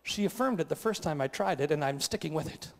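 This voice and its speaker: A man's voice: fairly high pitch, lively intonation, fast, gravelly, voice pushed down. A man voicing a self-satisfied husband who boasts complacently about his romantic routine. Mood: smug. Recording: long hall reverb.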